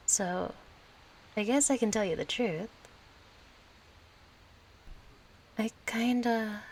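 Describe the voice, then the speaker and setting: An actress plays a kind young woman chatting with a close friend in an intimate audio roleplay. She talks slowly at a middling pitch, casual and playful with a hesitant, yearning softness. Noticeable ambient noise sits behind her voice. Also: gravelly. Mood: shy, sincere. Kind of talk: intimate audio roleplay acting, one side of a conversation.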